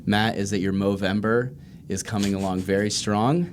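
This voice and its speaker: A man's voice: lowish voice, steady tone, normal speed, slight background noise. A man hosting a casual chat, talking in an informal, slangy and emphatic way. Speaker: podcast host.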